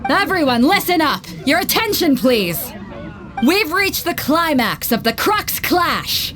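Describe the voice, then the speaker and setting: A woman's voice: high voice, big pitch swings, takes it slowly, a bit bright, heavily acted. A young woman voicing a bold, brash female ship captain who talks in a commanding way, in video game voice acting. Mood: announcing. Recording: game music underneath.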